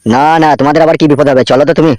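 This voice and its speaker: A man's voice: on the high side, steady tone, rapid-fire, darkish tone, no echo, lightly acted. A man voicing a bullying, foul-mouthed henchman in a comic cartoon. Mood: reassuring. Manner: foul-mouthed, warning, coarse. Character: gruff-talking, bullying male henchman of a local politician.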